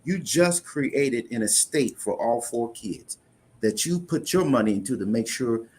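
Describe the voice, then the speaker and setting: A man's voice: mid-range voice, animated, unhurried, darkish tone. Male interview guest, mid-range voice, earnest and explanatory, restarts phrases. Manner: earnest, explanatory, repeats words when restarting. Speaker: insurance investment guest.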